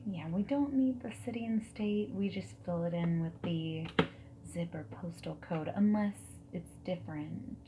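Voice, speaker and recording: A woman playing a friendly, whimsical dating-service consultant in a gentle ASMR roleplay, soft-spoken and breathy, often slipping into a whisper. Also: lowish voice. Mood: friendly, attentive. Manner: gentle, reassuring, chatty, unhurried. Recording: faint background hiss, keyboard typing. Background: mechanical keyboard typing.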